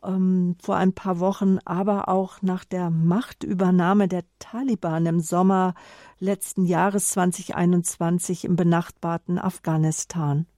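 A woman's voice: on the low side, level tone, a little slow, warm tone, no room sound. A woman radio host presenting a scripted introduction and interview questions in a studio.

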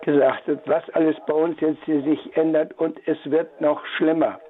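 An older man calling in to a radio show, talking in a halting, rambling way with many restarts, over a tinny phone line. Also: fairly high pitch, level tone, slight background noise. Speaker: caller. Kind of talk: call-in radio comment.

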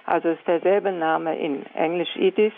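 An older woman, a nun, calling in to a radio show and speaking in a halting way as she searches for words. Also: fairly low pitch, steady tone, vocal fry. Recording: tinny phone line.

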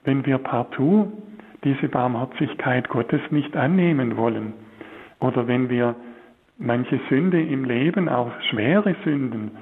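A priest from Swabia, giving a spiritual talk in a slow, searching way with many hesitations. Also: male voice, mid-range voice, husky, narrow, tinny audio. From Swabia, Germany. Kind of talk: spiritual talk on a religious broadcast.

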